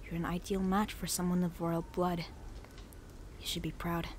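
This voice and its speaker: A woman playing a dignified young pony princess in an audio drama, reserved and resigned, with measured speech. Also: fairly low pitch, little pitch movement, slight background noise, lightly acted. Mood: cool, measured. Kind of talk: fan audio drama acting.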